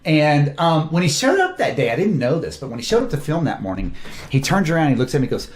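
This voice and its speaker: Animated man telling stories in an echoey room. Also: on the high side, quick pace, slight background noise. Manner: animated storyteller, laughs often, acts out quotes. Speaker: film director and producer. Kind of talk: casual interview talk.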